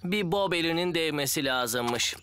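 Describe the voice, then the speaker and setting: A man's voice: on the high side, expressive, measured pace, heavy background noise. A man voicing a confident, friendly builder and team leader in a children's cartoon. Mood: instructive. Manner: confident, instructive. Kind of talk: children's cartoon voice acting, Turkish dub.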